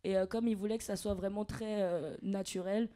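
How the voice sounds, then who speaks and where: A woman's voice: mid-range voice, steady tone, moderate pace, mellow. A woman from Switzerland, a guest on a podcast, explaining things earnestly in casual chat with many fillers. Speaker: guest, freestyle rapper and actress.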